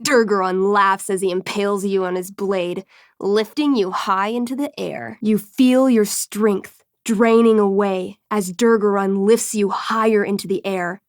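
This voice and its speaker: A woman's voice: mid-range voice, fairly even intonation, unhurried, dry recording, clean studio sound, natural voice. A woman playing a wry teenage game master, closely recorded. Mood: dramatic, suspenseful. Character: friendly, theatrical teenage girl running a tabletop fantasy game. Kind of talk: dramatic tabletop storytelling and casual chat.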